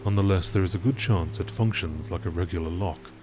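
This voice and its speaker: Low-voiced man narrating like a nature documentary. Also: music in the background. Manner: measured, formal, informational reading. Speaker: narrator reading game lore entries.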